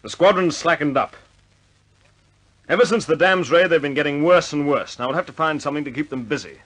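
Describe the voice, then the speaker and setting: An actor in a radio drama playing a stern but wry air force squadron commander who gives clipped orders. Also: male voice, fairly high pitch, fairly quick, a bit bright, poor audio. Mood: exasperated.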